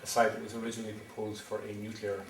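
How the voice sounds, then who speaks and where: Hesitant Irish man, low and flat. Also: fast talker, a little hiss or hum. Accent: Irish, County Wexford. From Ireland. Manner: hesitant, many ums and restarts. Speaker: wind energy developer presenting at a town meeting. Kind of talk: public presentation. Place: town meeting hall. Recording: echoey hall recorded from far off.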